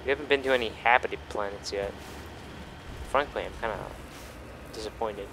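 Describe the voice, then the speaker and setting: A man's voice: lowish voice, fairly quick, noisy background. A man giving casual, sweary, exclamatory gameplay commentary as he hosts a gaming video, often talking himself up. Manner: sweary, self-congratulating, exclamatory.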